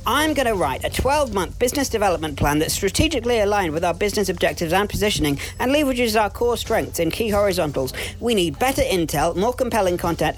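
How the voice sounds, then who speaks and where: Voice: mid-range voice, voice pushed up, close to an everyday voice. A narrator voicing a desperate, gushing male agency salesman in a comic story. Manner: pleading, gushing, manic.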